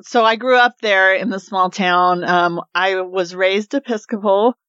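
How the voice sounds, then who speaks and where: A woman's voice: mid-range voice, a little slow, fairly bright tone, smooth, dry recording. A woman in her forties from Oklahoma, a podcast guest telling rambling stories about her childhood with frequent laughs. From Oklahoma, USA. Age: 40s. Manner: chatty, giggly, rambling storyteller. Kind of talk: casual interview chat. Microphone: studio mic. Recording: slightly dull, dry studio sound.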